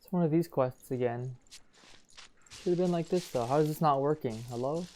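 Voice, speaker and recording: Man, gaming commentary, muttering asides, mellow dark tone, faint noise. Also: on the high side, moderate pace. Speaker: gaming channel host. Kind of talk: casual gameplay commentary.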